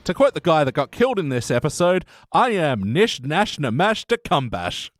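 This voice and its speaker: A man's voice: on the high side, very animated, moderate pace, no echo, studio quality. A man co-hosting a podcast in loose, rambling, jokey and informal conversation. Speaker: podcast co-host. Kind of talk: casual chat.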